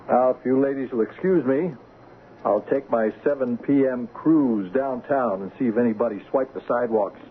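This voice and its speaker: A man's voice: medium pitch, normal speed, natural voice. Man acting a folksy sheriff father, mid-range voice, jovial, wry, radio play, faint hiss. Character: folksy, good-humored middle-aged small-town sheriff father. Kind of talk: 1970s radio drama acting. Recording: muffled lo-fi radio recording with hiss.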